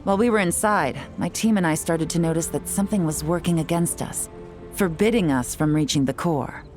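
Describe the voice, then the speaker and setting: A woman playing a cool, shrewd young intelligence agent in a video game, speaking in a measured, knowing, composed way. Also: fairly low pitch, a little mellow, faint music behind, lightly acted. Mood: serious briefing. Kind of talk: video game voice acting, story exposition.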